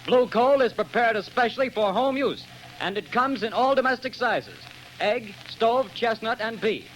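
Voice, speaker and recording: A man reading a scripted radio commercial in a smooth, persuasive announcer manner, heard through an old broadcast. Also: very high-pitched, normal speed, a bit bright, a little hiss or hum, poor audio.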